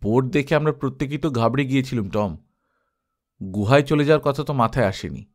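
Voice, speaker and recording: Male reader as cautious adventurer, mid pitch, urgent, commanding, audiobook character. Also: brisk, natural voice. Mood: apologetic. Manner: urgent, hushed at times, commanding. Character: cautious German adventurer giving urgent orders.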